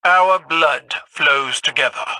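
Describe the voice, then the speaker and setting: Proud, forceful alien warrior empress. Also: female voice, sits low, takes it slowly, heavily acted, rough recording. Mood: ceremonial, grand. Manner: formal, grandiose, commanding. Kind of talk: theatrical video game voice acting.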